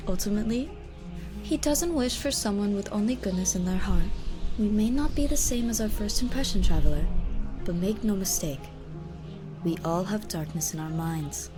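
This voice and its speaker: A woman's voice: medium pitch, lively intonation, normal speed, over music, lightly acted. A woman playing a proud, stern but courteous illusionist in a fantasy audio drama, speaking formally and theatrically. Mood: solemn, commanding.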